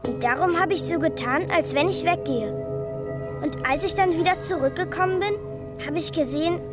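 A woman's voice: very high voice, normal speed, loud music behind the voice. A female actor playing a chatty little girl in a radio drama, telling things in a matter-of-fact, childlike way, eerily indifferent to horror. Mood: matter-of-fact, eerie.

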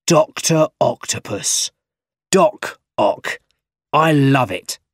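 A man voicing a bossy, blustering middle-aged newspaper boss in a storybook reading, loud and exclamatory. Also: medium pitch, slow, no echo, studio quality, natural voice. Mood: excited bluster.

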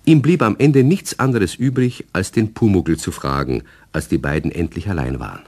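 A man's voice: sits low, normal speed, a little mellow. A man narrating a children's radio play in a scripted storytelling style, addressing the young listeners directly.

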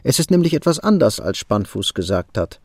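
An actor narrating a radio drama in a wry, expressive storytelling manner. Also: male voice, medium pitch, fairly quick, dry recording. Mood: lively, telling. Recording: plucked guitar music underneath.

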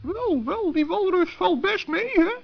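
A man's voice: very high-pitched, expressive, a little slow, vocal fry, very noisy, voice pushed up, heavily acted. A man voicing a little forest gnome, polite, earnest and hesitant, in a children's radio play. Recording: muffled old sixties recording.

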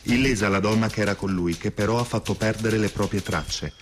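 An actor playing a radio newsreader, delivering a formal, impersonal, clipped crime bulletin. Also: male voice, lowish voice, fairly quick, slight background noise, lightly acted. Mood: impersonal, serious. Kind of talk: formal scripted news bulletin.

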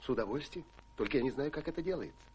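Very raspy, bashful gentleman. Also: mid-range voice, lively intonation, rapid-fire, rough recording. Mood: flustered, bashful. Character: bashful, blustering gentleman back from India. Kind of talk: theatrical radio drama acting.

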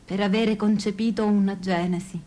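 An actress playing an asylum inmate, reciting poetry as a solemn, incantatory chorus in a radio play. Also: female voice, mid-range voice, fairly even intonation, normal speed, dark tone, close to an everyday voice. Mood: solemn, sorrowful. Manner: solemn, incantatory, repeating lines. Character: female asylum inmate in an echoing poetic chorus. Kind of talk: poetic theatrical recitation.

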